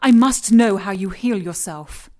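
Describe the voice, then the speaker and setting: A woman's voice: medium pitch, a little slow, dark tone, lightly acted. An actress playing a cold, obsessed female scientist and shapeshifter villain in an audio drama, composed, clinical and condescending.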